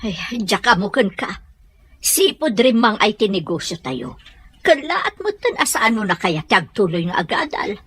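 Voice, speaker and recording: A woman's voice: mid-range voice, very animated, normal speed, fairly bright tone, slightly rough. A woman with a Filipino Ilocano accent playing a worried, admonishing mother in an audio drama. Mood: exasperated, coaxing.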